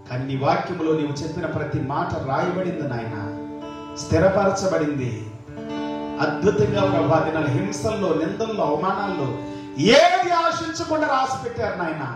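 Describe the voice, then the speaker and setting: A man with a high voice prays fervently as a pastor, stretching his words slowly. He sounds far from the mic in a very echoey space, with guitar music playing clearly behind him. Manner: impassioned, with slow drawn-out English phrases. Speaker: pastor leading prayer. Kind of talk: fervent spoken prayer. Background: soft guitar playing.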